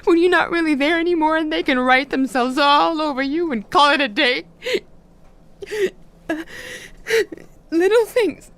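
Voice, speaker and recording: A woman's voice: sits high, a little fast, fairly bright tone. An actress playing a distraught, haunted young female academic in an audio drama, emotional and insistent, speaking in fragments. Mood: tearful, anguished.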